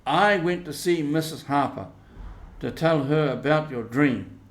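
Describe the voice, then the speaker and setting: Guy doing a cross old auntie in a storybook, higher voice, reproachful, roomy echo. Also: measured pace, close to an everyday voice. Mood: hurt, scolding. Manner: emphatic, reproachful. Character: stern, scolding, hurt elderly aunt.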